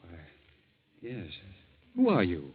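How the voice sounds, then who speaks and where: An actor playing a nervous, evasive suspect in a 1940s radio drama, speaking in a halting, husky voice on an old recording. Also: male voice, low-pitched, huge pitch swings, phone-quality sound, full character voice. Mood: flustered, protesting. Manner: halting, hesitant, stammering. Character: nervous hunted man, evasive suspect protesting innocence.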